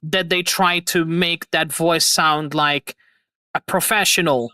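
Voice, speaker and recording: A young man in his twenties hosting a relaxed online chat, his voice bright and slightly high. He talks in excited, rambling bursts and laughs a lot. The sound is dry and close. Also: slow pace, clear, resonant tone, dry recording. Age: 20s. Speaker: host. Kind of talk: casual chat on a livestream.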